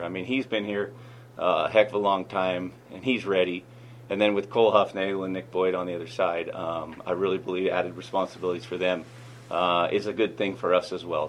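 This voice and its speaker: A man, a football head coach and manager, answering in an interview clip with halting speech and frequent restarts. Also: sits low, a little hiss or hum. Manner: halting, many fillers and restarts.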